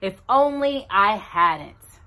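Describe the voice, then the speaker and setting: A woman voicing a gentle, bumbling male bear in a storybook reading, slow and wondering. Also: mid-range voice, animated.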